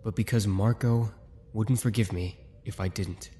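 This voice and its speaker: A man's voice: fairly low pitch, steady tone, unhurried, mellow, a little hiss or hum, natural voice. A man voicing a grieving young soldier who is defensive and sarcastic. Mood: bitter.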